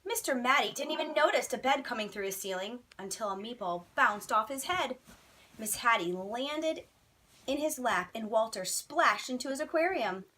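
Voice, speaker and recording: A woman's voice: on the high side, animated, moderate pace, bright, forceful tone, a little hiss or hum. A woman teacher reading a children's bedtime story expressively, with dramatic, emphatic phrasing.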